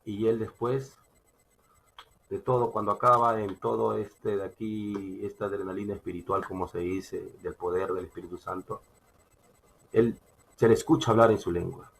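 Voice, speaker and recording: A man with a Peruvian accent preaching a sermon, emphatic and halting, repeating phrases as he teaches from scripture. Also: fairly low pitch, steady tone, vocal fry, husky, rough recording. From Peru. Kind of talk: sermon with Bible reading and personal testimony.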